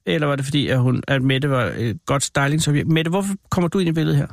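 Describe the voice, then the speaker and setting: Male host, slightly higher-pitched with gravelly fry, playful chatty interviewing, dry close sound. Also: no room sound. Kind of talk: casual, curious interview chat.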